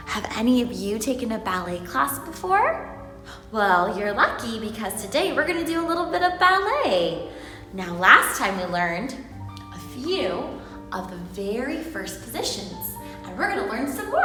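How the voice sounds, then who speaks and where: A woman presenting a storytime and ballet lesson for preschoolers in an encouraging, sing-song, highly expressive way. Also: distant mic. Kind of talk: read-aloud and lesson for preschoolers. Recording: echoey hall, music behind.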